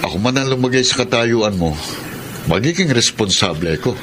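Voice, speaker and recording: An actor playing an easygoing, gently sceptical young male friend in a Filipino radio drama, talking conversationally. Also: mid-range voice, lively intonation, moderate pace, close to an everyday voice. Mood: teasing, advising. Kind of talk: Tagalog radio drama acting, casual dialogue. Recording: clear ambient noise behind dialogue.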